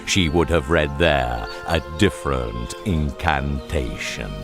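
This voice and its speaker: A man playing a majestic, gentle, wise lion in a radio drama, speaking in a slow, solemn, measured way. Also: extremely low pitch, music in the background, exaggerated voice. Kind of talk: radio theatre acting.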